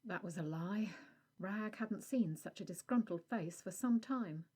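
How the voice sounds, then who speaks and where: A woman reading a scripted audio story as narrator, in a measured storytelling delivery. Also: medium pitch, warm tone, slightly rough.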